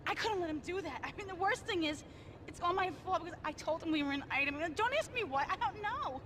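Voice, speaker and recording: A gushing high-pitched mob girlfriend. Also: lively intonation, quick pace, background noise. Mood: frantic pleading. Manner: breathless, gushing, theatrical. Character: flighty, dramatic young mob girlfriend. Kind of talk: video game cutscene acting.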